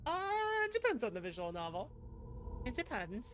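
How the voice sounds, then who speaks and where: A woman's voice: mid-range voice, wildly swooping pitch, normal speed, background noise. A woman streaming a game with casual commentary, hesitantly thinking aloud and reading choices out. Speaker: streamer playing a visual novel. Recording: music playing behind the voice. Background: game music.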